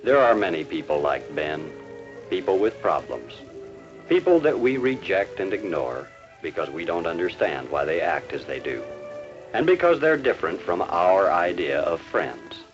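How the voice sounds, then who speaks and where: A man's voice: low-pitched, very animated, moderate pace, faint music behind. A man narrating a scripted 1950s educational film in a didactic manner, posing rhetorical questions. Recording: dull 1950s film soundtrack.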